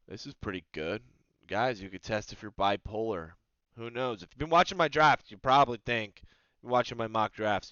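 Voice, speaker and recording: Low-voiced man reacting to a mock draft. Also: clear, resonant tone, no room sound, muffled recording. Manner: animated, reads passages aloud then reacts with quick asides. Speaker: sports video host. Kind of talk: casual reaction commentary reading a mock draft.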